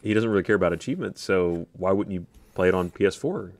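A man's voice: deep voice, fast talker, no echo. A man, co-hosting a podcast with casual, jokey banter. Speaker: podcast co-host. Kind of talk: casual bantering panel chat. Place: indoor podcast studio. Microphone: close studio microphone on desk.

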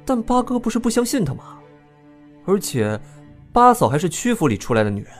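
Casting: frank, indignant youngest prince for audio drama, animated and expressive delivery. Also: male voice, high-pitched, brisk, faint music behind. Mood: puzzled, earnest.

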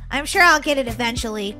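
A sweet, eager young girl, played by a woman, in a children's game roleplay. The voice is very high and cheerful, with a childlike lilt that moves up and down a lot. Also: very animated, normal speed, pushed and bright, soft background music, full character voice.

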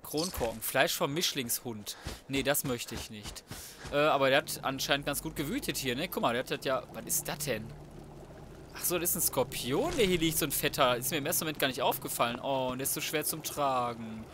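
A man's voice: mid-range voice, lively intonation, a little fast, bright, forceful tone, a little hiss or hum. A man giving casual, slangy and crude commentary on a video game, talking to himself as he plays. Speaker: let's play gamer.

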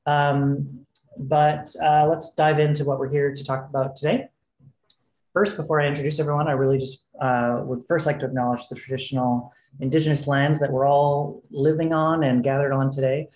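A man moderating an online panel from home, relaxed and full of fillers. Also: a little high, moderate pace. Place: home room, video call. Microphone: video call. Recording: roomy video call audio with faint street noise. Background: faint street noise.

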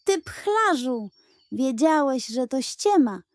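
A woman's voice: high voice, sing-song, slow pace, no echo, voice pushed up, heavily acted. A woman voicing a cunning, hot-tempered male pug in a children's tale, with an indignant, emphatic manner. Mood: furious.

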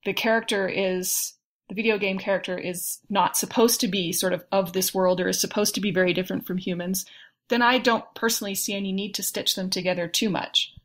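A woman discussing films casually as a panel guest, making her case in a measured, point-by-point way. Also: medium pitch, steady tone.

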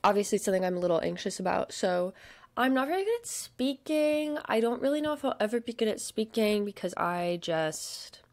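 A young woman with a stutter, chatting casually at her desk about shopping, with lots of fillers and soft murmured asides. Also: medium pitch, very animated, fairly quick. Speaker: vlogger. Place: home desk.

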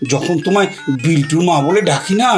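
A woman's voice: on the low side, a little fast, a little mellow, lightly acted. A woman playing a coaxing, playful old Shiva in an audio drama, repeating words as he wheedles. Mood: indignant, playful. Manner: wheedling, stammering repetitions of words. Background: music.

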